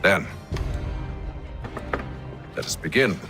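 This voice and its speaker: Calculating gentleman ringleader for a game: low, authoritative, very varied intonation, loud music underneath. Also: expressive, heavily acted. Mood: scheming, confident. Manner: measured, formal, authoritative. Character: composed, calculating gentleman leader of conspirators. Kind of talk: dramatic period video game acting.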